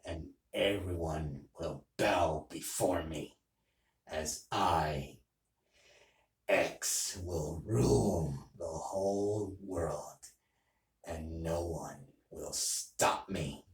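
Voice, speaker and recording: Man playing a very low, slow anime villain. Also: recorded from a distance, heavily acted. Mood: grandiose, threatening. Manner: menacing, boastful, halting monologue. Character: vengeful, burned anime villain bent on world domination. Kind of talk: solo anime villain role play. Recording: echoey room.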